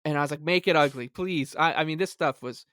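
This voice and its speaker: A man co-hosting a sports podcast, chatting casually in a halting, gleeful, excitable way. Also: a little high, no room sound, clean studio sound. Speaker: podcast co-host. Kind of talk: casual hockey fan chat.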